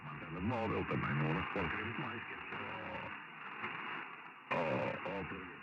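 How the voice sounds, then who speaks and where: A man's voice: deep voice, expressive, fast talker. A man chatting casually over a radio, repeating his phrases. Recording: dull CB radio, loud static.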